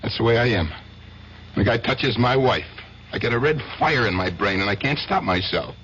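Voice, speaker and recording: An actor playing an obsessively jealous, unrepentant husband in a 1970s radio drama, plain-spoken and self-justifying. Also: male voice, mid-range voice, lively intonation, normal speed, a bit bright, natural voice. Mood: grimly unrepentant. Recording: dull, noisy 1970s radio broadcast.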